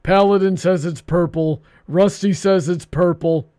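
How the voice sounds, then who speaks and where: A man hosting a livestream with casual banter, talking in a halting, good-humoured way. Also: high voice, steady tone, warm tone. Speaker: livestream show host.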